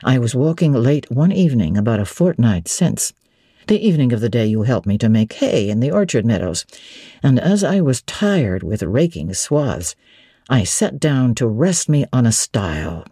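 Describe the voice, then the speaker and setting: A woman voicing a brooding, teasing middle-aged gentleman, commanding and whimsical, in a dramatic audiobook reading of a classic novel. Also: rumbling low, very animated, moderate pace, slightly rough, no echo, studio quality, voice pushed down, full character voice. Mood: wistful storytelling. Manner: whimsical, authoritative, theatrical.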